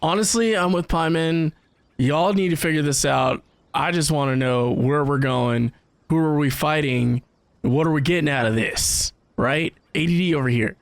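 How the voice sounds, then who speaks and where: A man doing casual gameplay reaction commentary in an expressive, wry, colloquial way. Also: on the high side, moderate pace, no echo. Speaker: streamer reacting to a game. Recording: faint game music behind.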